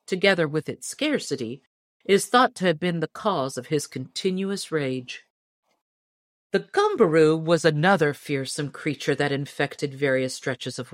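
A woman's voice: deep voice, dry recording. Woman reading a tall tale, close and dry. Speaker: audiobook reader and storyteller. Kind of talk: scripted storybook narration of a tall tale.

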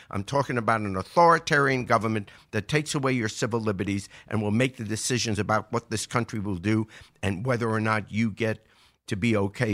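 Male political commentator, lowish pitch, earnest and emphatic, speaking as a show regular. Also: a bit bright. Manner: earnest, emphatic, repeats key points. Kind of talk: political commentary before a live audience.

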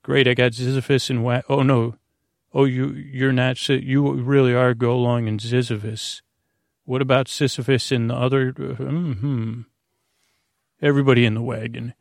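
A man hosting a sleep podcast in a meandering monologue, halting often with ums, repeated words and trailing tangents. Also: mid-range voice, level tone, creaky, no room sound, clean studio sound. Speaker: host of a bedtime sleep podcast. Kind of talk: rambling, meandering bedtime monologue.

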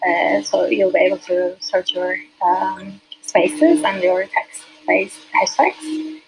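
A woman whose first language is Turkish, a UX designer as a podcast guest, talking hesitantly with many fillers and soft laughs. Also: on the low side, steady tone, slow, creaky, noisy background. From Turkey. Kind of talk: casual interview.

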